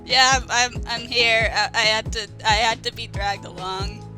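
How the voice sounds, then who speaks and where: Woman as grumpy female cat, reluctant guest, deadpan, wry and bitter, slightly high pitch, improvised banter, music behind. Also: moderate pace, lightly acted. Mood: reluctant, defensive. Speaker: guest answering fan questions in character.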